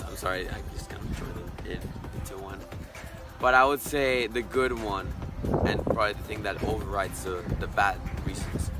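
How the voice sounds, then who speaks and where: An earnest, reflective eighteen-year-old man from Costa Rica, sharing his story and thinking aloud as he gives a word of encouragement. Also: lowish voice. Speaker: interviewee. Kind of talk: personal testimony, word of encouragement. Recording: loud background music. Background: loud music.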